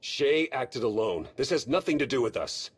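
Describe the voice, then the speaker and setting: A man's voice: medium pitch, very animated, normal speed, husky. A man playing a blunt, wry military adviser in a scripted video game, casual in manner. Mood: defensive.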